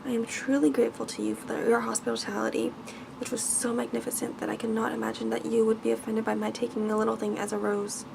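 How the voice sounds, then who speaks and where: A woman's voice: on the high side, little pitch movement, quick pace, creaky, noisy background. A woman voicing a frightened, humble merchant father in a bedtime storybook, pleading in formal, deferential speech.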